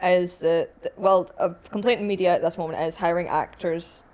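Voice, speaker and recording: A woman's voice: on the low side, steady tone, moderate pace, darkish tone. A woman chatting and bantering casually as a panelist on a podcast.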